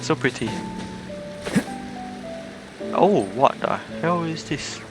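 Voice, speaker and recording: A man's voice: on the high side, slow pace. Rambling male game streamer. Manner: rambling, giggly. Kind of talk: casual live gameplay commentary. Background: game music.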